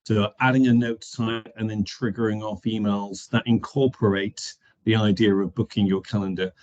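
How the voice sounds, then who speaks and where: A man's voice: lowish voice, steady tone, moderate pace, darkish tone. A middle-aged man explaining things casually as a guest on a video call. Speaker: panel guest. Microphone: video call microphone. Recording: dull lo-fi call audio.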